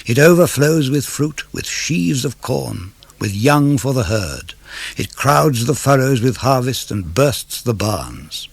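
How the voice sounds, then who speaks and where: A man reads classical poetry aloud for radio. His phrasing is measured and declamatory, with a gravelly edge to the voice. The sound is dry and intimate. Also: medium pitch, very animated, dry recording. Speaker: poetry reader. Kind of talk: dramatic verse reading of classical poetry for radio.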